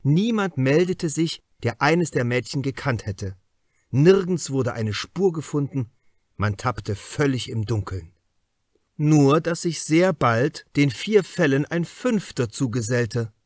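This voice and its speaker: Expressive male audiobook narrator. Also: medium pitch, slow, dry recording, clean studio sound. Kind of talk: scripted literary narration of a crime novel.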